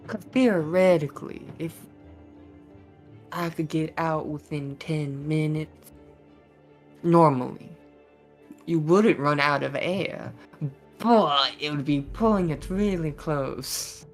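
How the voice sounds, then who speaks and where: Woman putting on a low, Southern-drawled adventurer. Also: slow pace, pauses often, faint music behind. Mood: worried, explaining.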